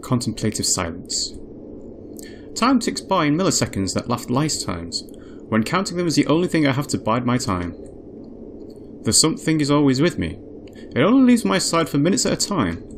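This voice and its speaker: A man voicing a newly awakened, curious female android in a visual novel, measured and introspective. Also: fairly high pitch, sing-song, background noise. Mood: contemplative. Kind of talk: visual novel character narration. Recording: music behind the voice.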